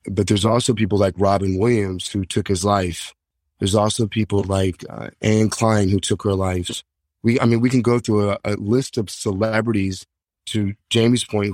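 Low-voiced candid man on a panel. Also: no echo. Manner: candid, rambling, many fillers. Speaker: panel guest. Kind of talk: panel discussion on a call.

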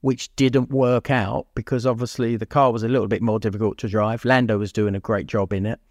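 A man's voice: fairly low pitch, level tone, fairly quick, darkish tone, no room sound, studio quality. A man co-hosting a podcast, talking sport casually and emphatically, repeating phrases and posing rhetorical questions. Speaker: podcast co-host.

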